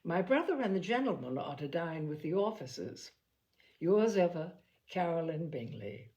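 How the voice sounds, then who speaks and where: A woman's voice: fairly low pitch, sing-song, normal speed, darkish tone. Woman playing a mannered, genteel young lady. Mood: playfully dramatic. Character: genteel young lady letter-writer, playfully dramatic.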